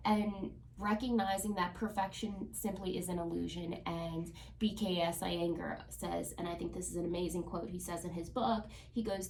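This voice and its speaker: A woman's voice: on the low side, darkish tone, echoey room, recorded from a distance, a little hiss or hum, poor audio. A woman with a cold, sounding congested, chatting casually through a tarot reading with lots of ums. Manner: chatty, with frequent ums and likes. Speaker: tarot reader.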